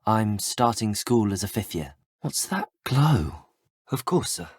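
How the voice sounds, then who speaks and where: Lively, polite young British wizard student. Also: male voice, lowish voice, unhurried, slightly rough, no room sound, clean studio sound, exaggerated voice. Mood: respectful. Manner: courteous, well-spoken, formal. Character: polite, earnest, curious teenage boy wizard student. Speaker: player character voice actor. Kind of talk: scripted video game voice lines.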